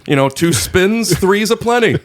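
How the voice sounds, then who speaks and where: A man's voice: high voice, lively intonation, moderate pace. A man hosting a casual podcast chat, playful and prone to running jokes and rambling tangents. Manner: playful, jumps into mock bits and tangents. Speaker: podcast host.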